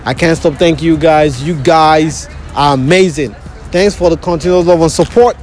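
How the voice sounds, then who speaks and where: A man's voice: on the high side, normal speed, darkish tone. A young Nigerian man running a casual street interview with a handheld phone, friendly and teasing, with an easy laugh. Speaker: street interviewer, video host. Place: large clothing store indoors, then outdoors by a wall. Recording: music playing behind.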